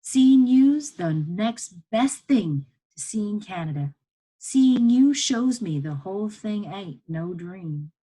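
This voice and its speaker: Woman voicing a weary, motherly woman, husky and firm. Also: medium pitch, animated, takes it slowly, warm tone, pitched above the performer's own voice, low-quality recording. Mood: tender, urgent. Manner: old-time rural Southern dialect, admonishing. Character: weary, firm, motherly enslaved woman, scolding yet tender.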